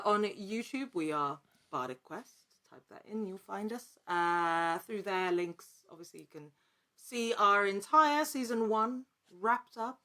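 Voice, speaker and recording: A woman's voice: medium pitch, sharp tone. A woman talking casually as an interview guest, earnest and thinking aloud in broken phrases. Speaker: podcast guest, actor and actual-play storyteller.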